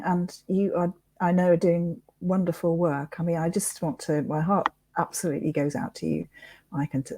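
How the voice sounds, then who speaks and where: A woman interviewing in a gentle conversational style, tentative and sympathetic. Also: low-pitched, no room sound.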